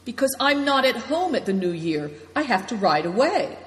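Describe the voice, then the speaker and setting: A woman's voice: on the high side, big pitch swings, normal speed, voice pushed up. A woman reading as a humble, rueful nomad host. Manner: humble, gently melancholy. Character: modest, courteous middle-aged nomad man host. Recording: echoey room with long reverb.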